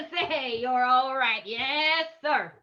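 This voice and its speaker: A woman acts out a gold prospector man from an old radio play, boastful and leering. The delivery is folksy and chuckling, with a bright edge. The recording is muffled and lo-fi. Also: high-pitched, drawn-out words, heavily acted. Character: boastful, flirtatious male gold prospector in a tavern. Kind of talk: old-time radio acting. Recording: muffled, lo-fi microphone.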